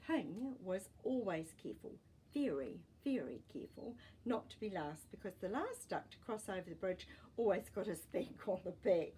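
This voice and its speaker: Mellow woman reading a children's story. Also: mid-range voice, a little hiss or hum, poor audio. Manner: deliberate, expressive phrasing for young listeners. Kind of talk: read-aloud children's storytelling with asides.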